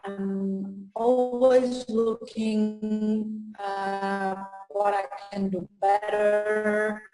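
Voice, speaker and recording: Slow, hesitant woman from Portugal. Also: medium pitch, mellow. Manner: slow and hesitant, many fillers and pauses. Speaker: trainer and coach. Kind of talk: casual interview talk. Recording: echoey room.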